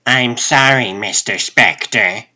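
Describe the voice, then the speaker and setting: A man's voice: medium pitch, slow, sharp tone, voice pushed up, exaggerated voice. A man voicing a harried, skeptical assistant to a television producer in a comic book dub, pointed and questioning. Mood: puzzled.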